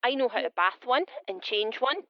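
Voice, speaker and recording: An actress playing a naive, defiant fifteen-year-old daughter, pleading and arguing through a telephone filter in a radio play. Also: female voice, on the high side, moderate pace, dry recording. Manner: pleading, argumentative. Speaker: actor. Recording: dull band-limited phone line.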